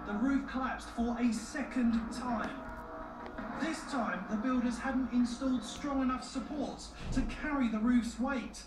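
A woman's voice: fairly high pitch, fairly even intonation, a little slow. Woman narrating, echoey and distant. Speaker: documentary narrator. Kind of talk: scripted narration. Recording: echoey TV re-recording from afar, music. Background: music.

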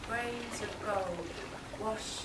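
A middle-aged woman giving a deliberate poetry recital, spacing out short phrases. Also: medium pitch. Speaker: poetry reader. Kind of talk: slow live poetry recital. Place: inside a marquee at a live reading. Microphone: camera mic a few metres away. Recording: distant, echoey, noisy camera recording.

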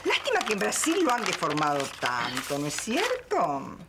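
A woman's voice: on the low side, wildly swooping pitch, normal speed, background noise. An actress playing a pretentious lady of the house with a theatrical, lilting manner. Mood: affected. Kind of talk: television drama acting. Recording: music in the background.

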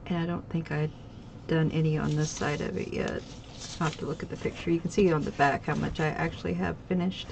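A woman's voice: low-pitched, little pitch movement, fairly quick, vocal fry, background noise. A woman with a stuffy, slightly nasal voice, rambling casually through her crafting projects at home, with many ums and restarts. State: congested, a bit nasal. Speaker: craft channel host. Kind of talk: casual chat showing stitching projects. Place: home craft table, indoors.

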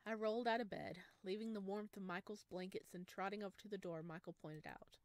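A woman streaming a visual novel, reading narration aloud and reacting in an expressive, gushing way. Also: medium pitch, a little fast, no echo. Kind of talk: game playthrough with read-aloud narration and excited reactions.

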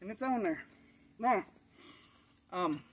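An American man with a notably high voice talks folks through a hands-on job in a casual, folksy, instructional way. He is working as he speaks, picked up by a camera a few feet away outdoors, with faint insect noise behind him. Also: expressive, slow. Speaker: do-it-yourself demonstrator. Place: outdoors in a cluttered rural yard.

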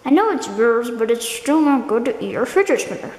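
A woman's voice: on the high side, big pitch swings, brisk, darkish tone. A woman playing a patient but bossy sock puppet who scolds and explains with sighs and hesitations. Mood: scolding. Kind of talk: puppet show dialogue. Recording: echoey hard room with background noise.

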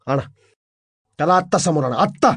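A man's voice: mid-range voice, quick pace, darkish tone, slightly rough, no echo, clean studio sound, voice pushed down, close to an everyday voice. A man voicing a furious, commanding police officer in a crime audio drama, menacing, with short repeated orders and questions. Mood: shouting, furious. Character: furious, commanding male police officer interrogating his subordinates.

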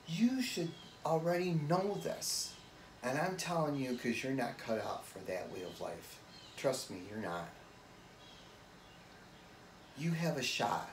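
A man performing a dramatic monologue as an earnest, weary, responsible brother pleading with his sibling, with deliberate pauses. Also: a little high, animated, voice pushed up. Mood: urgent pleading. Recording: echoey room with faint noise.

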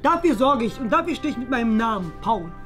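Woman as cocky, high-voiced schoolboy. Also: big pitch swings, fast talker, fairly bright tone, soft background music. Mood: boastful. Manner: smug, self-important. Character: cocky, boastful, sporty popular schoolboy. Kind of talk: children's toy-play school story.

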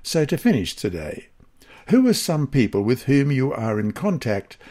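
A man with a husky voice reads a scripted devotional lesson aloud in a measured, careful manner. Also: mid-range voice, big pitch swings, warm tone. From Australia. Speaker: lesson reader.

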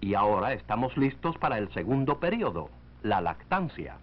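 A man reading scripted narration for a 1940s educational film, in a measured, didactic way. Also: fairly low pitch, sing-song. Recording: dull lo-fi 1940s film soundtrack.

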